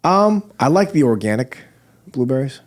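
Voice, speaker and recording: A man's voice: medium pitch, very animated, moderate pace. A man hosting a church podcast with a nasal voice, chatting casually and joking at his own expense. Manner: joking, self-deprecating, rambling with restarts. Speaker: podcast host. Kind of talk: casual chat.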